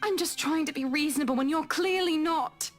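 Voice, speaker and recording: Young woman, acted by a woman, extremely high pitch, pleading, distressed, game voice acting, faint music. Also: fairly quick, close to an everyday voice. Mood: hurt, pleading. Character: young wife and women pleading, distressed, trying to be reasonable. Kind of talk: visual novel voice acting.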